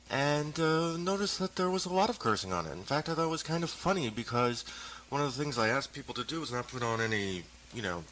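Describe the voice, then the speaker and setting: A man's voice: mid-range voice, fairly bright tone, slight background noise, poor audio. A man giving a casual, conversational introduction with some hesitant storytelling. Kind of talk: casual spoken introduction to a tape.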